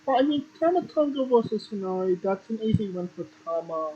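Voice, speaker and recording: A man's voice: high voice, animated, fairly quick, slightly rough, highs cut off. A man hosting a casual wrestling podcast, matter-of-fact, reeling off standings with offhand swearing. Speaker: podcast host.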